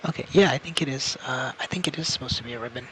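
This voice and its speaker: A man's voice: mid-range voice, racing, a bit bright, soft background music, poor audio. A man chatting casually as a co-commentator on a game stream. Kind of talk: casual chat.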